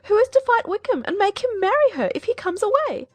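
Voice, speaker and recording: A woman's voice: extremely high pitch, big pitch swings, a little fast, dry recording. An actress playing an overwrought middle-aged mother in a dramatized audiobook, exclaiming in a querulous, fretful manner. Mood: indignant, distraught.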